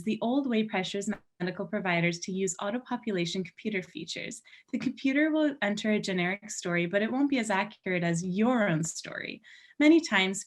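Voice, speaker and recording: A woman with a medium-pitched voice speaks as a panelist. She gives prepared, explanatory answers in the manner of someone reading from a script. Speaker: research team panelist.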